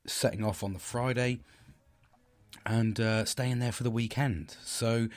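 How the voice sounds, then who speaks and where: A husky middle-aged man from the United Kingdom hosting a casual solo podcast, talking in a hesitant way with many fillers and restarts. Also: medium pitch, steady tone, unhurried. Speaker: podcast host.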